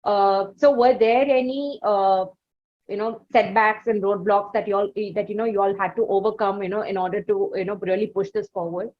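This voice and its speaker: A woman's voice: medium pitch, steady tone, moderate pace. A woman with an Indian accent hosting a conversational interview, phrasing her questions in a hesitant, wordy way. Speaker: interviewer and podcast host. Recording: dull, lo-fi audio.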